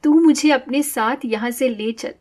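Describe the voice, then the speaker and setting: A woman playing a weak, mistreated elder sister in a moral story, talking in a soft, halting, sorrowful voice. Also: sits high. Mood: weary, sorrowful.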